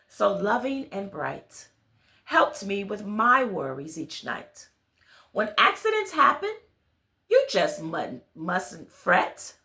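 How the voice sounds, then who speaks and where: A woman voicing a kind, comforting old grandmother in a children's storybook, gentle and reassuring. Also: mid-range voice, heavily acted. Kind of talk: read-aloud children's storybook.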